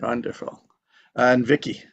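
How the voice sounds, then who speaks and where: A man's voice: medium pitch, level tone, moderate pace, vocal fry, muffled recording. A man hosting a casual live session and introducing participants in an informal way. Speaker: host introducing table read cast.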